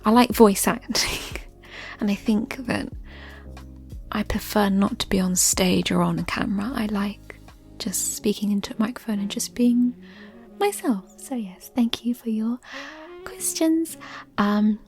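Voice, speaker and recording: A woman speaking in a soft, breathy, often near-whispered voice, chatting gently and ramblingly in an ASMR style. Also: mid-range voice, measured pace. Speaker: ASMR creator answering listener questions. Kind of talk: soft-spoken ASMR chat. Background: music.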